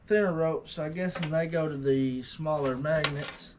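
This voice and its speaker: A man's voice: on the high side, steady tone, normal speed, a little mellow, a little hiss or hum. A man casually filming an unboxing and test in his workshop, talking in a rambling, offhand way with lots of fillers. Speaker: video host. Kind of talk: casual unboxing and testing vlog. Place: workshop, by a truck.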